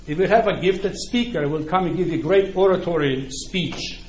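A middle-aged man with a Polish accent, whose first language is Ukrainian, delivers a sermon in a chatty, back-and-forth way, often asking his listeners if they follow. His voice sits slightly high for a man. The church hall is roomy and echoey, with a faint hum of background noise. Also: moderate pace, darkish tone. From Poland. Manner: conversational, often checks in with listeners. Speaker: preacher. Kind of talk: sermon to a congregation.

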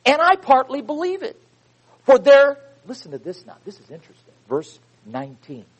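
A man preaching a sermon, reading scripture with loud emphasis and dropping into quiet asides. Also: very high voice, wildly swooping pitch, normal speed, dull audio.

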